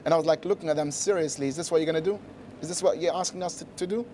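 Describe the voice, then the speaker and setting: A man's voice: a little high, very fast, dark tone, a little hiss or hum. A man recounting what he witnessed in an outdoor news interview.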